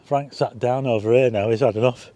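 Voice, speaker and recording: A Northern English man hosting a live stargazing stream outdoors at night, chatting casually, reading out viewer names for a quiz, his voice a little croaky. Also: mid-range voice. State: slightly croaky. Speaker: astronomy livestream host.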